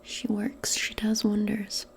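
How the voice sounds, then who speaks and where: A woman speaking softly and breathily, often in a whisper, in a gentle, hesitant ASMR roleplay as a modern witch. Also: medium pitch, monotone, gravelly, rough recording. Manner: gentle, unhurried, hesitant and improvised. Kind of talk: soft-spoken, whispered ASMR personal attention roleplay.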